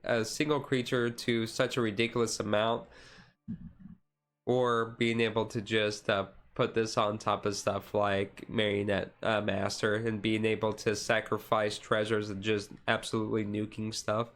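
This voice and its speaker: A man streaming casual, chill card game commentary in a halting, rambling way with frequent asides. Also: on the low side, flat delivery, fairly bright tone. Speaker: streamer host.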